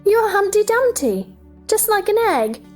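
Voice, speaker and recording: A woman's voice: very high-pitched, very animated, unhurried, soft background music, full character voice. A woman playing a polite, curious, bewildered seven-year-old girl in a theatrical audio drama, earnest and wondering.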